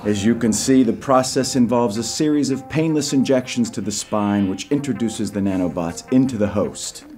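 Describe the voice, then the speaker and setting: A man's voice: medium pitch, normal speed, hardly any pauses, over music, lightly acted. Actor as slick salesman of military tech. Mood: smooth, assured. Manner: smooth, polished, salesmanlike. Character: slick civilian salesman pitching secret military program. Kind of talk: audio drama presentation speech.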